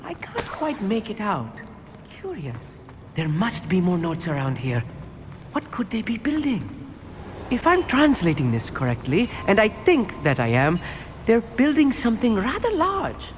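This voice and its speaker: A man's voice: high voice, huge pitch swings, a little slow, a little hiss or hum, exaggerated voice. An actor voicing a bookish, timid teenage boy in a video game, wordy and fretful. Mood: curious, absorbed. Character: bookish, timid teenage schoolboy scholar, easily alarmed. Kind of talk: dramatic video game dialogue. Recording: long hall reverb under loud music. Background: loud game music.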